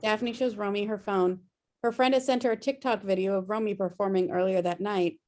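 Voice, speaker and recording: Woman reading out screenplay action lines, middle pitch, video call table read. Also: fairly even intonation, fairly quick, a little mellow. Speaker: stage directions reader. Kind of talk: scripted narration at a table read.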